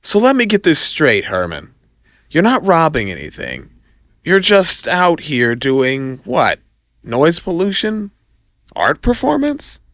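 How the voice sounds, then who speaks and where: Guy doing a young wisecracking superhero, sarcastic and cocky, voice jumps up high and all over, audio comic style. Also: huge pitch swings, takes it slowly, a bit bright, dry recording, studio quality, full character voice. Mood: teasing. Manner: sarcastic, teasing banter. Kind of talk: audio comic drama acting.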